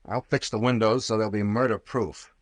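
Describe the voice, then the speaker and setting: An actor playing a tough, stern private investigator in an old-time radio drama, curt and commanding. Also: male voice, fairly low pitch, steady tone, normal speed, dry recording, close to an everyday voice. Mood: stern, firm. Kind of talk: 1940s radio acting.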